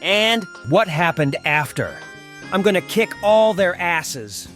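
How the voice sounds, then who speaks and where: A man's voice: high voice, expressive, slow, fairly bright tone, soft background music, exaggerated voice. A man playing a protective, hot-headed teenage athlete in a visual novel dub, casual and emphatic in his emotional delivery. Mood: agitated.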